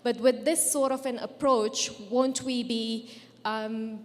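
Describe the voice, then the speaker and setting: Kenyan woman in the audience, native Swahili speaker, fairly high voice, probing and articulate, echoey hall. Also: steady tone, slow. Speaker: audience member. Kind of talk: asking a question at a forum.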